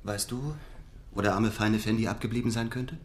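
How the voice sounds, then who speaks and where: A man's voice: fairly low pitch, fairly even intonation, moderate pace, a little hiss or hum, lightly acted. An actor playing a man investigating among Ottoman painters in a radio drama, asking in a restrained, plain-spoken way. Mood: restrained, inquiring. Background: faint music.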